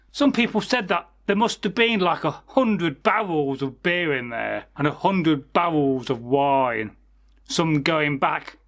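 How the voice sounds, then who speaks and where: A man's voice: fairly high pitch, a bit bright. A man telling a folktale informally as a storyteller, chatty and rambling, with asides to his listeners. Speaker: storyteller, channel host.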